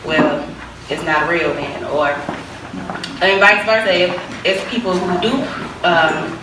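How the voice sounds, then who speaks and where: A young woman with a very low voice speaks with confident conviction on a panel. She sounds distant, set in a roomy, echoing hall with noticeable background hiss. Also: takes it slowly. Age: young adult. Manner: confident, opinionated, repeats "as far as I'm concerned". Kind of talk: panel answer. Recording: distant echoey hall recording with background noise.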